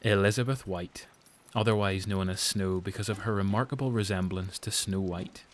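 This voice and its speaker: Low-voiced Northern Irish male narrator, an actor. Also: fairly even intonation, close to an everyday voice. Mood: descriptive. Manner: measured, descriptive storytelling. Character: grave storyteller narrating a post-apocalyptic school drama. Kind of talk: scripted narration.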